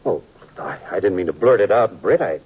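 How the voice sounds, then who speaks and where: Low-voiced western sheriff. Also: male voice, lively intonation, slightly rough, heavily acted. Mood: regretful. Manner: folksy, halting. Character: regretful, plain-spoken older small-town western sheriff. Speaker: actor in a radio western. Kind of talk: 1950s radio western acting. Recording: muffled, noisy old radio recording.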